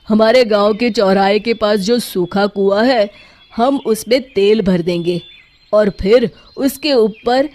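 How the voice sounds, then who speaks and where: A woman's voice: medium pitch, a little mellow, natural voice. Earnest, clever young villager voiced by a woman. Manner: earnest, explanatory. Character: clever, decisive young village man hatching plans. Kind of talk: children's cartoon storytelling.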